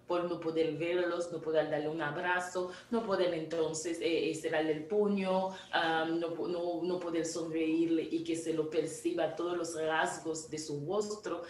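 A woman in her forties from Haiti speaks on a video call from a home studio, set back from the mic in a roomy, echoey space with faint ambient hiss. Her voice sits fairly low for a woman, and she explains earnestly, pausing to restart a word now and then. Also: distant mic. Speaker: image consultant guest. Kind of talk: remote interview over video call. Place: home studio room indoors. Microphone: video call from a laptop camera.

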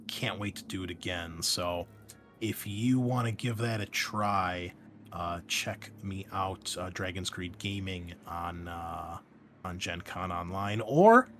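A man's voice: low-pitched, slow pace, a bit bright. A man hosting a casual introduction, talking in an enthusiastic, rambling way as a game master. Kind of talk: casual introduction talk to listeners. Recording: clear background noise.

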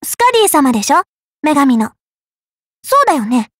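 A woman's voice: high-pitched, big pitch swings, moderate pace, fairly bright tone, dry recording, studio quality. A woman playing a friendly, curious young valkyrie in an anime game, girlish and informal. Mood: inquisitive. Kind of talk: anime mobile game voice acting.

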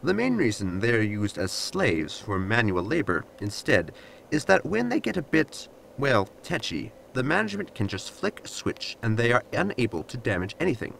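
A man's voice: medium pitch, wildly swooping pitch, moderate pace, gravelly, fairly bright tone, slight background noise, heavily acted, poor audio. A man playing a blunt, literal android servant in a sci-fi comedy audio drama, formal and matter-of-fact. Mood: matter-of-fact explaining.

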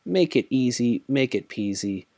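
A man's voice: medium pitch, animated, unhurried, warm tone, dry recording. A tired man casually thinking aloud while walking through a screen-recorded tutorial, rambling with many fillers. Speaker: crypto tutorial host.

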